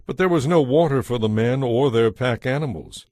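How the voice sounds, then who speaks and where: A man narrating a dramatized audio Bible in a measured storytelling manner. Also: mid-range voice, darkish tone, no echo, clean studio sound.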